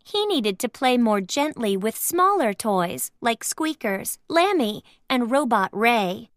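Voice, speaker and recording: A woman's voice: a little high, big pitch swings, slow, dry recording, clean studio sound. A woman narrating a children's read-aloud storybook, expressively sounding out each phrase.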